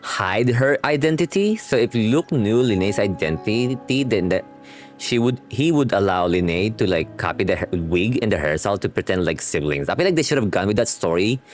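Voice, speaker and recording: Male game streamer, lowish voice with lively swings, casual rambling reactions over game music. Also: normal speed. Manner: rambling, reads game dialogue aloud. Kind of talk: casual reaction commentary with slang. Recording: game music playing behind. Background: video game music and dialogue.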